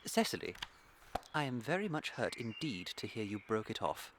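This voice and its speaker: A British man playing an impetuous, charming young gentleman in a comic period radio play, ardent and theatrical. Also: lowish voice, big pitch swings, moderate pace, background noise. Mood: ardent, wounded.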